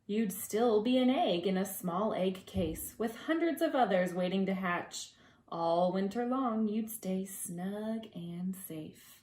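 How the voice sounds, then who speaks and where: Slow-paced woman reading a storybook, echoey room. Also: mid-range voice, lively intonation. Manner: gentle, deliberate, drawing out words for young listeners. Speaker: preschool teacher reading a picture book. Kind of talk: expressive children's storytime read-aloud with conversational asides.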